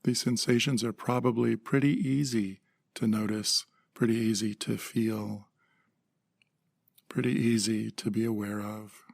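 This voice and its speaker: A man guides a meditation calmly, leaving pauses between short phrases. His voice is low and very husky with a lot of creak, and it stays even. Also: no echo, studio quality. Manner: calm, gentle, measured phrasing with pauses.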